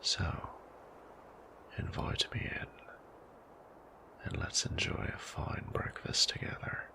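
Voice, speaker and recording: A man playing a suave, menacing old vampire in an audio drama, silky and formal, with a breathy, gravelly voice. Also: bright, forceful tone, whispered. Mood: soothing, silky. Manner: silky, formal, theatrically unhurried. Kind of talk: scripted audio roleplay acting.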